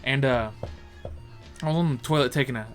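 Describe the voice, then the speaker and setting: A man's voice: mid-range voice, fairly quick. Young guy cohosting a podcast, rambly and crude, keeps correcting himself, bit of music behind. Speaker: podcast co-host. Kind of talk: casual chat.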